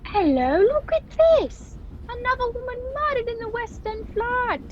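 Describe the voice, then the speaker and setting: On muffled call audio, a woman plays a chatty, folksy train passenger in a radio play. Her voice is high, with faint noise around it. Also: big pitch swings, normal speed. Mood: chatty, intrigued. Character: folksy train passenger reading the newspaper aloud. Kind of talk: old-time radio play acting. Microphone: video call. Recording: dull, noisy video call audio.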